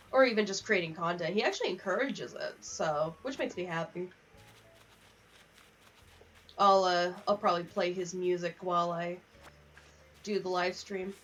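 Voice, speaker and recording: A woman's voice: lowish voice, a little hiss or hum. A young woman chatting casually on a gaming livestream, rambling from one aside to the next. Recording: distant, slightly muffled mic.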